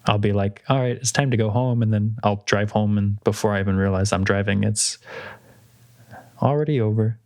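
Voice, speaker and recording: A young man co-hosting a podcast in casual chat, explaining things in a steady, measured way. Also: fairly low pitch, no echo, clean studio sound. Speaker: podcast co-host.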